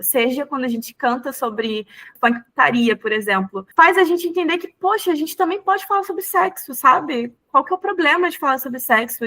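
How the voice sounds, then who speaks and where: A Brazilian woman hosting a casual conversational interview, thinking aloud with halting repeated words. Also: high-pitched, fairly bright tone. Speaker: podcast host, interviewer.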